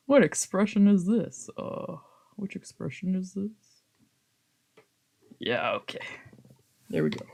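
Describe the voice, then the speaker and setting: Low-voiced woman chatting casually on stream. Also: gravelly, breathy edge. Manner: informal, sweary, self-deprecating, playful. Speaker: VTuber streamer playing a visual novel. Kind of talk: casual rambling livestream chat. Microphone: old, cheap microphone.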